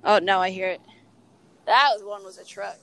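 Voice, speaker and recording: A woman's voice: mid-range voice, normal speed, a bit bright. A young woman co-hosting a podcast, telling personal stories in a playful, self-mocking, exclamatory way. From Oklahoma, United States. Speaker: podcast co-host. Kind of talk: casual chat, personal storytelling.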